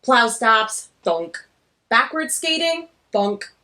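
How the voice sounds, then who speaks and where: A woman's voice: a little high, very animated, slow pace, bright, forceful tone, exaggerated voice. A woman reading a graphic novel as a nervous, self-deprecating girl who narrates in a wry, glum way.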